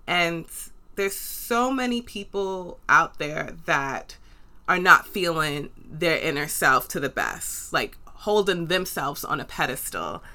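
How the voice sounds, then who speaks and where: A woman's voice: low voice, very animated, slow, pushed and bright. A woman with a New York accent hosting a podcast, talking earnestly and encouragingly and repeating words for emphasis. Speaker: podcast host. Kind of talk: motivational podcast monologue.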